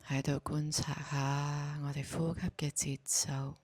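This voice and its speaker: Hong kong cantonese lady doing guided meditation, really slow n calm, soft breathy voice, super dry sound. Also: sits low, no room sound. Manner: slow, calm, gently instructing.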